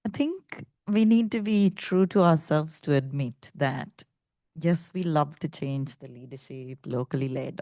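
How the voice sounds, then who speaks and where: A woman's voice: deep voice, gravelly, warm tone, dry recording, clean studio sound. A woman speaking English as a second language, earnestly making her point on a discussion panel. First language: not English. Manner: earnest, thinking aloud with restarts.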